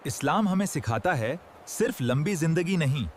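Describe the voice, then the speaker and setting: A performer playing a patient, earnest religious teacher who explains a scripted children's cartoon lesson in a measured, didactic way. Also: medium pitch, expressive, slight background noise, natural voice. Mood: earnest, instructive.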